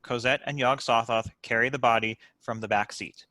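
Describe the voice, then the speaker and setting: A man's voice: mid-range voice, fairly even intonation, moderate pace, bright, forceful tone, no room sound. A man reading out stage directions as the narrator of a scripted table read.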